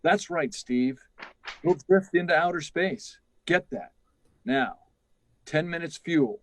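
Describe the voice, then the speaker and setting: A man's voice: on the high side, takes it slowly, a little hiss or hum. A man playing a careful, insistent space project chief in a 1950s radio drama style. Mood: grave, insistent. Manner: insistent, instructing. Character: stern, careful male test project chief.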